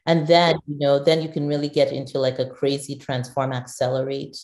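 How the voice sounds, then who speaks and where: A woman's voice: rumbling low, little pitch movement, a little fast, a little mellow, echoey room. A middle-aged woman from Nigeria, a guest in an interview, talking thoughtfully with many restarts and fillers. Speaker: guest, digital executive. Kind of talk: conversational interview.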